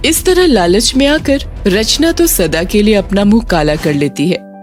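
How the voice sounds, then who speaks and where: Slow-paced woman storyteller over music. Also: medium pitch, lively intonation. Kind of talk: scripted children's story narration. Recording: music bed under narration.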